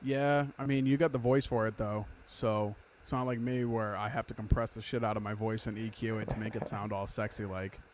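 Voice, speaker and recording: Emphatic, profane podcast host. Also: male voice, fairly low pitch, steady tone, a little fast, few pauses, darkish tone, slight background noise. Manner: emphatic, profane, advice-giving. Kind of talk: casual podcast chat.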